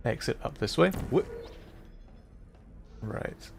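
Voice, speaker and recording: A man's voice: slow pace, slight background noise. Man casually livestreaming a game. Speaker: livestreamer playing a video game. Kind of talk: casual live game commentary and chat with viewers.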